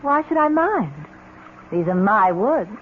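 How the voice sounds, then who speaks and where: An actress in a 1970s radio drama playing a wealthy young fiancée, affectionate and managing, with a breezy, genteel, persuasive manner. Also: female voice, a little high, big pitch swings, unhurried. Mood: breezy, unruffled. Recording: muffled, noisy 1970s radio recording.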